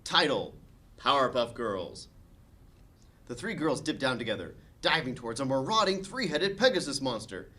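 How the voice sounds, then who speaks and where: A man with a mid-pitched voice serves as narrator for a table read. He reads scene headings and story narration aloud from a script. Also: sing-song, moderate pace, pushed and bright. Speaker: narrator reading stage directions.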